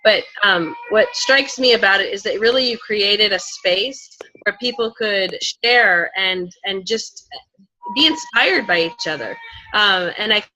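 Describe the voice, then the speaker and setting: A woman speaking as a panelist in a spontaneous discussion, earnest and reflective, with halting phrasing. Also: mid-range voice, bright, forceful tone, low-quality recording.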